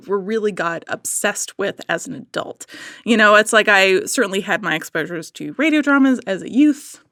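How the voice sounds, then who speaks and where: A woman chatting casually as a podcast guest, telling animated, digressive stories in an effusive, theatrical manner. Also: medium pitch, no room sound, studio quality. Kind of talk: casual chat, animated storytelling.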